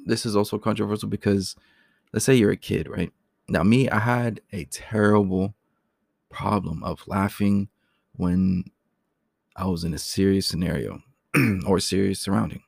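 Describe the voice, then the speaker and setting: A raspy-voiced man talks through his topics in a loose, chatty way, stopping now and then to clear his throat. His voice sits low with a gravelly fry. The sound is close and dry, with no room around it. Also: darkish tone, dry recording. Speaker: podcast host. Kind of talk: casual solo talk.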